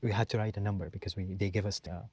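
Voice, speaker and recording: A man's voice: fairly low pitch, steady tone, very fast, a little mellow, husky, no echo. A young man from Saudi Arabia, a PhD candidate guest, telling a story in a hesitant way with many restarts and fillers. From born and raised in Saudi Arabia. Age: young adult. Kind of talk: casual interview chat.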